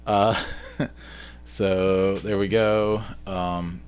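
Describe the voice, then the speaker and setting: A man's voice: low-pitched, level tone, extremely slow, smooth. A man narrating a casual screen-share vlog, informally thinking aloud as he works through tasks on the computer. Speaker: vlogger and video editor.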